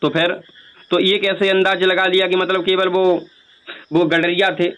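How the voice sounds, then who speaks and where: A man speaks on a recorded phone call in a fairly high voice. He argues insistently, explaining like a lecturer and firing rhetorical questions to press his point. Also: flat delivery, a little slow, fairly bright tone. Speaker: speaker who performs religious stories on stage, on a call. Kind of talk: argumentative phone conversation.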